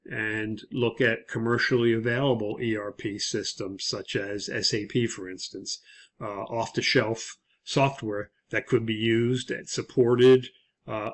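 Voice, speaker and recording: A male instructor with a mid-pitched voice and very little melodic movement. He explains material in a critical, analytical way, often setting out rhetorical questions as he goes through his lesson. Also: fairly bright tone. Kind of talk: recorded lesson, explaining a case study.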